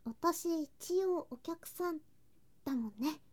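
A woman voicing a sweet, affectionate younger girl cousin in a Japanese ASMR situation drama, in a cutesy, clingy manner with soft whispery touches. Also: very high-pitched, takes it slowly. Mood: cheerful.

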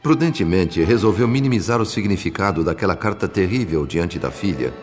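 Deep Brazilian male radio drama narrator. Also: lively intonation, a little mellow. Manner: formal, measured. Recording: long reverb, music behind.

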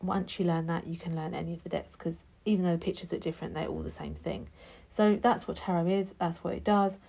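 A woman's voice: lowish voice, steady tone, a little fast, creaky, warm tone, breathy edge. A woman hosting an unedited tarot podcast, sounding nasal from a blocked nose, chatty and affectionate with listeners, sometimes dropping to a whisper. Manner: chatty, affectionate, calls listeners love and darling; drops to a whisper at times. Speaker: podcast host giving tarot readings. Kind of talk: casual unedited podcast chat. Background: card shuffling.

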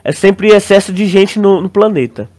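A man's voice: sits high, normal speed, a little mellow. A Brazilian man co-hosting a podcast, theorizing in a casual, slangy and rambling way. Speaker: podcast co-host. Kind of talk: casual chat, theorizing about a series.

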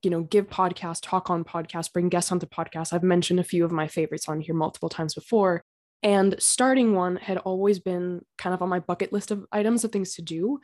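A young woman hosting her own podcast, talking casually and earnestly, her throat slightly raspy from being sick. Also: lowish voice, steady tone, a little fast, a little mellow. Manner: chatty and earnest, with fillers and small laughs. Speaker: podcast host. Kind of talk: casual solo podcast talk. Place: home.

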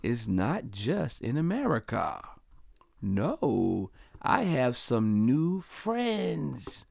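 A man hosts his own podcast in a casual, chatty monologue, full of slangy shout-outs. His mid-pitched voice has a gravelly edge, and the recording is close and dry. He sounds upbeat and talks straight to his listeners. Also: big pitch swings, drawn-out words, dry recording. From Delaware. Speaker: podcast host.

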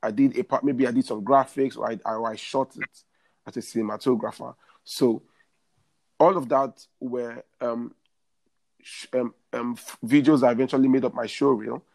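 A man's voice: medium pitch, vocal fry, darkish tone. A man in his thirties, a guest in an interview, giving earnest career advice and often repeating words as he builds a point. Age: 30s.

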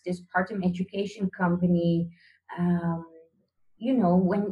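A woman from the Netherlands with a Dutch accent, a guest in an interview over a video call, talking hesitantly with many pauses and restarts. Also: fairly low pitch, darkish tone, low-quality recording.

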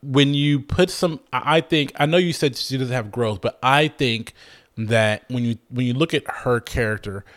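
A man in casual conversation, gushing about a show he loves with animated energy. He talks in bursts, restarting his sentences and cursing freely. His voice sits at an ordinary middle pitch. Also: normal speed. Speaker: podcast co-host. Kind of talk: casual chat reviewing a TV show.

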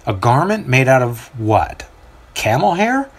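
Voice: medium pitch, big pitch swings, measured pace, slightly rough. A storyteller voicing a skeptical priest musing doubtfully to himself. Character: skeptical scheming priest, inner thoughts.

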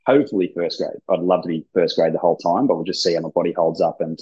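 A man talking in a laid-back, rambling way, swearing casually during a relaxed interview. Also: low-pitched, fast talker, breathy edge. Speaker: gym founder and ice hockey player, guest. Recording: dull lo-fi sound.

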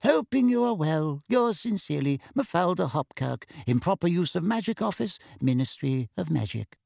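A man voicing an official reading a stiff formal letter in a storybook reading, gravelly and husky. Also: a little high, very animated, moderate pace, a little mellow, no echo, studio quality, pitched above the performer's own voice. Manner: formal, clipped.

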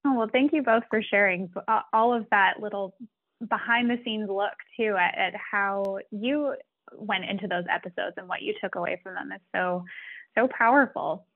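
A woman's voice: mid-range voice, moderate pace. A woman hosting a podcast interview, polite and upbeat as she thanks guests and asks closing questions. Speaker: podcast host. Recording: tinny phone-line audio.

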